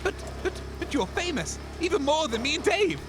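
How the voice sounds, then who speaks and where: Man acting very high-pitched, gushing, vain DJ. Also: big pitch swings, normal speed. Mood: awestruck. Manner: gushing, theatrical, grandiose. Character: excitable, vain, fame-hungry male radio DJ. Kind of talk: comic audio drama acting. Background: music bed.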